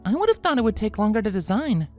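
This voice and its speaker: A man voicing a composed, skeptical female pony in a storybook reading, with a measured, questioning manner. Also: high voice, very animated, darkish tone, voice pushed up. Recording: music bed under the voice.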